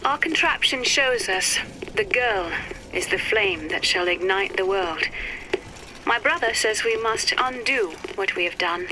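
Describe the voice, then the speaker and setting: An actress playing a cool, erudite, wry and melancholy physicist in a game audio log, speaking in precise, measured, formal phrases. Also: female voice, medium pitch, natural voice. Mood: resigned, pensive. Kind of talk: scripted dramatic audio-log monologue for a game. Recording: noisy, crackly vintage audio-log recording.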